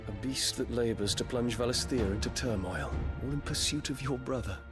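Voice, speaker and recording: A British man playing a proud, dutiful warrior prince in a fantasy game, speaking in a formal, measured, guarded way. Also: mid-range voice, natural voice. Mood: grave, resolute. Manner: formal, measured, archaic courtly diction. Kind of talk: dramatic fantasy game acting. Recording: orchestral music behind the voice.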